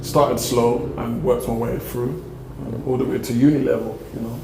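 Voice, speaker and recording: A man's voice: mid-range voice, little pitch movement, moderate pace, vocal fry, a crowd in the background. A young man from South London with a South London accent, a guest giving laid-back, rambling answers in a casual interview. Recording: echoey room with faint noise.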